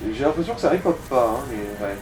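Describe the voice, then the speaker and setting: A man's voice: medium pitch, animated, very fast, over music, rough recording. A man giving casual, slangy and crude advice as he comments on a video game.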